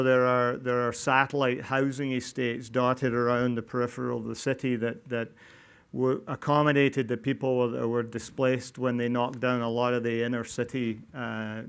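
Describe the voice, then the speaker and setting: A middle-aged man from Glasgow with a Scottish accent, giving a public talk about his housing work, halting with fillers and restarts. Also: mid-range voice, level tone, clear, resonant tone. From Scotland, Glasgow. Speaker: non-profit housing developer. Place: event venue before an audience.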